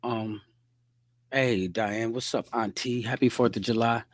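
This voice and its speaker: A middle-aged man hosting his podcast alone, hoarse and relaxed, chatting to viewers and answering their comments. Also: mid-range voice, unhurried, dry recording, studio quality. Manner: laid-back, talks straight to viewers, reads out comments. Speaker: podcast host. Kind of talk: casual solo livestream chat.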